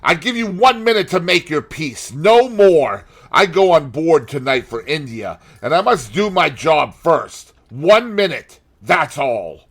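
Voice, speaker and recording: A man voicing a grim, vengeful sailor in an audiobook, growling threats in a forceful, menacing way. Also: fairly high pitch, sing-song, a little slow, few pauses, pitched above the performer's own voice, heavily acted. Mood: threatening, urgent.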